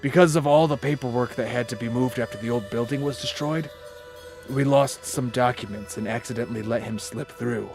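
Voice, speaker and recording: A man's voice: mid-range voice, fairly quick, natural voice. Unsympathetic male ninja official man acting, audio drama, mid-range, light music bed. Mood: matter-of-fact.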